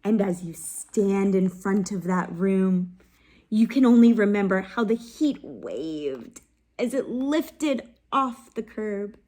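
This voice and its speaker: A woman hosting a children's read-aloud, reading a picture book expressively, pausing to ask her listeners questions and sometimes dropping to a soft whisper, close to the mic. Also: a little high.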